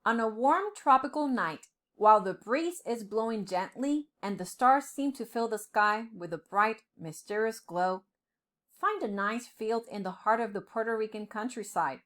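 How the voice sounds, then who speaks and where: Woman, storybook narrator, highly expressive pitch, on the high side, dramatic deliberate phrasing, for young listeners. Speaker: storyteller, professor. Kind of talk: expressive storybook reading for children.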